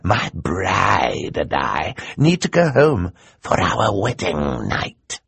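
A man's voice: sits low, wildly swooping pitch, slow pace, creaky, fairly bright tone, husky, dry recording, heavily acted. A man voicing a scheming, theatrical male villain who gloats and mocks, in an audiobook. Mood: mocking. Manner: gloating, grand and mocking.

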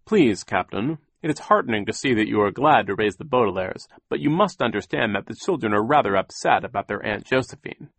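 A man's voice: lowish voice, lively intonation, a little fast, vocal fry, few pauses, a bit bright, no echo, full character voice. A man voicing a fussy, earnest banker who explains things formally, in an audiobook story. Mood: polite, reproving. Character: proper, fussy male banker, earnest and oblivious.

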